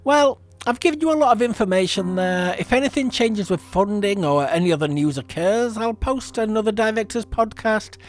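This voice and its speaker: A man's voice: high-pitched, lively intonation, normal speed, hardly any pauses. A man hosting a casual podcast as a creator, rambling and self-deprecating. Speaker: podcast host and audio drama creator. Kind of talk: casual chat.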